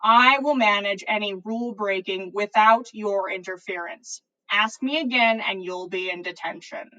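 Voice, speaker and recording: A woman voicing a stern, contemptuous male wizard professor in a story, slow, cold and disdainful. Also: medium pitch, sharp tone, dull audio, close to an everyday voice.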